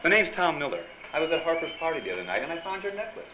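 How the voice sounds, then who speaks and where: An American actor playing a breezy, hardboiled newspaper reporter in an old-time radio noir drama. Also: male voice, on the high side, sing-song, racing. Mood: probing. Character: wisecracking, persistent male newspaper reporter, noir hero. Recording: dull lo-fi live stage recording, clear noise.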